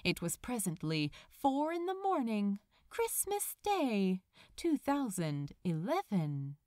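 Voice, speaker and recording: A woman narrating a story expressively, with deliberate, dramatic phrasing. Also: medium pitch, big pitch swings, a little mellow, no echo, studio quality. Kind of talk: expressive scripted fan fiction narration.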